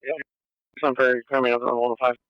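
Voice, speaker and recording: Male radio dispatcher, crisp but through a dull, dry scanner feed, clipped procedural relays. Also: mid-range voice, level tone, quick pace, no echo. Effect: police radio. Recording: muffled police scanner radio.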